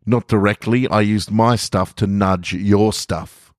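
A man's voice: on the low side, fairly even intonation, takes it slowly, no room sound, studio quality. A man voicing an exasperated, defiant and petty housemate in an audio short story, argumentative and incredulous. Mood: smug, defiant.

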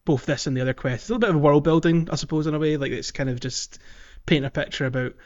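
A man's voice: a little high, rapid-fire, dull audio. A man co-hosting a gaming podcast, chatting casually and thinking aloud with frequent ums. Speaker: podcast co-host.

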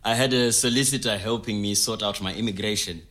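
A man's voice: lowish voice, brisk, a bit bright, close to an everyday voice. An actor playing a sentimental, devoted immigrant man, recalling his life in a conversational way. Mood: amused, fond. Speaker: actor in a scripted audio story. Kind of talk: naturalistic conversational storytelling.

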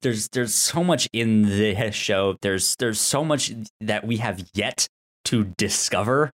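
A man co-hosting a casual TV recap discussion, halting and stammering over repeated sounds and words. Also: lowish voice. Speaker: podcast co-host.

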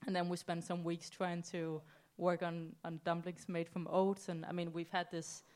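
A woman's voice: lowish voice, flat delivery, normal speed, a little mellow. A woman from Denmark, a chef on a panel, explains things in a friendly way. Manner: friendly, explaining with small laughs. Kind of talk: casual panel answer.

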